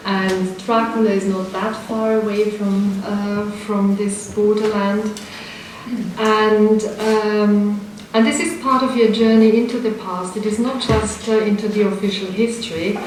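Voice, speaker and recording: A woman's voice: medium pitch, a little mellow. A woman moderating a literary event, asking long winding questions full of hesitations. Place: literature house event hall. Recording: echoey hall from far off, noisy.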